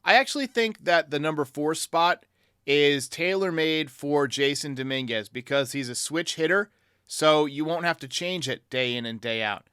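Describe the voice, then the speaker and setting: A man's voice: on the high side, normal speed, bright, forceful tone, clean tone, no echo, clean studio sound. A man hosting a sports podcast, giving commentary in reply to fans. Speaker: podcast host. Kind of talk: sports commentary answering fan voicemails.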